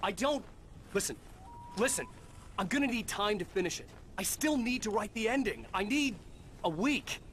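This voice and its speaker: An actor playing a tense, haunted American writer in a dramatic video game. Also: male voice, sits high, expressive, normal speed, fairly bright tone. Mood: pleading. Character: tense, haunted male writer searching for his kidnapped wife. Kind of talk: dramatic game acting and brooding first-person narration. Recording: game audio with clear background noise.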